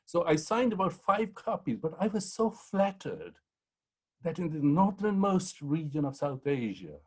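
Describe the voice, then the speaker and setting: A man's voice: a little high, very animated, measured pace, darkish tone, slightly rough. A man, a historian on a panel, telling rambling anecdotes full of ums and restarts. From Pakistan. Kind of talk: anecdotal storytelling in a panel talk.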